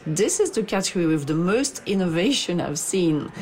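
Low-voiced woman, emphatic market analyst. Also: big pitch swings, normal speed, a little mellow, slight background noise. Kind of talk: expert commentary.

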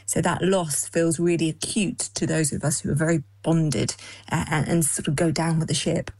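A woman speaks as an expert guest, explaining ideas in plain language. Her voice is on the low side, recorded very dry and close. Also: fairly even intonation, moderate pace, darkish tone, husky, dry recording. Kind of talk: explanatory interview.

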